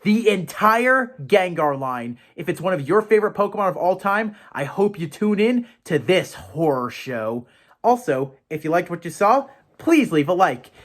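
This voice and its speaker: A man's voice: high-pitched, very animated, normal speed. A man presenting a scripted fan video series as its host, with a playful, theatrical manner of addressing viewers. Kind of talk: scripted presenter narration to fans.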